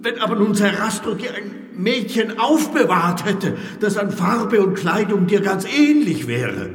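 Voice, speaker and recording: An actor playing a stern, formal temple priest who questions ceremoniously in a radio drama. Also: male voice, high voice, very animated, unhurried, slight background noise, heavily acted. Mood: coaxing, firm. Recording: echoey reverberant hall, music behind.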